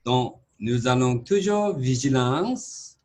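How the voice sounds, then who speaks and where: A Buddhist monk with a Tibetan accent mixed with some Quebec French, giving a conference talk in halting, broken French, calmly repeating key words in short phrases. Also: male voice, mid-range voice.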